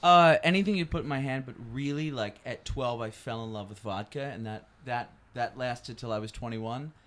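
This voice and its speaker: A man's voice: medium pitch, normal speed, a bit bright. A man from Philadelphia, a podcast guest and singer, chatting candidly with a joking, self-mocking manner on a headset mic in a podcast studio. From Philadelphia, USA. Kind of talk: casual chat.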